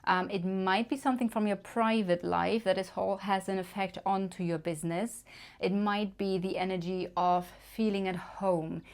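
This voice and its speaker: A woman's voice: medium pitch, fairly even intonation, moderate pace. A woman with a German accent, reading tarot cards to camera in a chatty way, thinking aloud with many fillers. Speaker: tarot reader. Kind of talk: casual card reading to camera.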